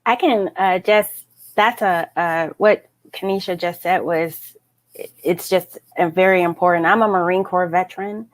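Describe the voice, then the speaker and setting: A woman's voice: fairly low pitch, unhurried. A woman author and veteran on a panel, speaking directly and earnestly over a video call.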